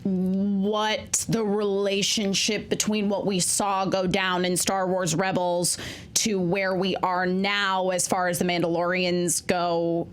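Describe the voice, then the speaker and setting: A woman on a discussion panel, talking through a series in a casual, excited, fan-enthusiastic way. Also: medium pitch, slow, clean tone.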